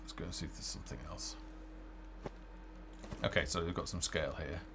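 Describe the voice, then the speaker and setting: A man's voice: low-pitched, fairly even intonation, a little fast, noisy background. A middle-aged man giving casual live commentary on a game he develops, hesitant and thinking aloud as he plays, on a headset. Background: game music.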